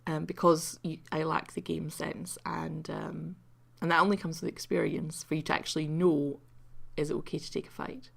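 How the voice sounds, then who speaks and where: Croaky woman with a gravelly voice. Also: deep voice, level tone, moderate pace, hardly any pauses. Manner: rambling and self-mocking, with many ums. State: croaky from just waking up. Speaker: video game commentator. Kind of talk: casual replay commentary.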